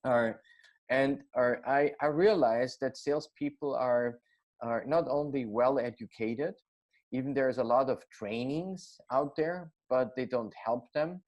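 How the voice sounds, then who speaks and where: Austrian-accented man, halting speech. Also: medium pitch, a little mellow, highs cut off. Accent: Austrian German. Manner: hesitant, many fillers and restarts. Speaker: guest. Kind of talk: casual interview.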